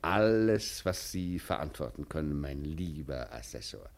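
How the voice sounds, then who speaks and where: A man's voice: extremely low pitch, very animated, a little slow, exaggerated voice. A man acting in a German radio play as a lofty, evasive police chief, speaking in a commanding, condescending way. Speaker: actor.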